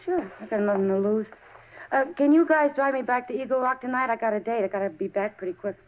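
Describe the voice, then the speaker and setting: An actress playing a streetwise, sullen teenage runaway in a 1950s radio crime drama, with cocky, slangy back-talk. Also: female voice, fairly high pitch, quick pace, lightly acted. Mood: defiant. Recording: dull 1950s radio recording.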